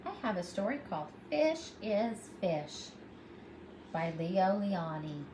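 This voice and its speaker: A woman's voice: medium pitch, big pitch swings, slow, noisy background. A woman pre-K teacher reading a storybook aloud to young children, expressively and with simple explanations.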